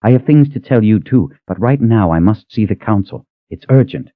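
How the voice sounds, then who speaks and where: A man voicing a tired but determined young noblewoman who speaks gently and firmly. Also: lowish voice, expressive, moderate pace, no room sound, close to an everyday voice. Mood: urgent. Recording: narrow tinny phone-like sound.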